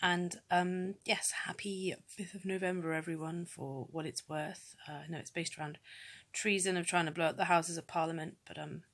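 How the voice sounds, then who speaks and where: Young adult woman, British accent, lowish voice, casual vlog chat, hesitant and rambling. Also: fairly even intonation, moderate pace, few pauses, fairly bright tone. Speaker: homeschooling mother and vlogger.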